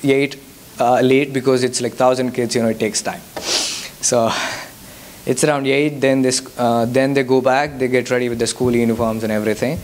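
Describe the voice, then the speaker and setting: A man's voice: mid-range voice, fairly even intonation, moderate pace, a little hiss or hum. A young man from India with a Telugu-accented English, a Telugu native speaker answering audience questions as a guest speaker at a church youth service, hesitant with frequent fillers and restarts.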